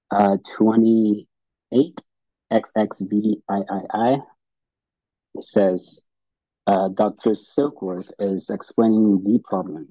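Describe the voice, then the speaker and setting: Halting Brazilian-accented man, husky voice. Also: fairly low pitch, dark tone, no echo. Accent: Brazilian Portuguese. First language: Portuguese. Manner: halting, repeats words and restarts often. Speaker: speaker at a recovery meeting. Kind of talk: personal testimony and reading aloud. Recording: dull lo-fi dry audio.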